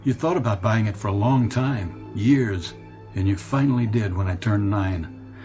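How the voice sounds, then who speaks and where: A man's voice: lowish voice, darkish tone, natural voice. An actor as an earnest, wistful man over music. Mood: wistful, imploring. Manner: earnest, wistful, halting when distressed. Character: troubled nostalgic grown man longing for his boyhood. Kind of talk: radio drama acting. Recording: radio drama with music behind.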